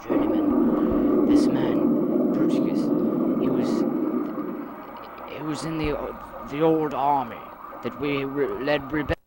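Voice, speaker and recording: An earnest, uneasy idealist played by a man in an amateur audio drama. He speaks at a middling pitch, stumbling and doubling back on phrases. The homemade tape is very noisy. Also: natural voice. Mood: earnest, hesitant. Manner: halting, stammering, repeats phrases. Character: earnest, anxious male member of a free post-revolution society.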